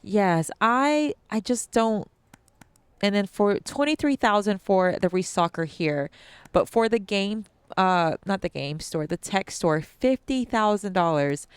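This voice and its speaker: Woman, young adult, game commentary, slow unhurried delivery, very clear voice, slightly stuffy nose, chatty with ums. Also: mid-range voice, lively intonation, no echo. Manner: chatty, addresses viewers as guys, frequent ums and restarts. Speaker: gaming channel host. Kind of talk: casual let's-play commentary.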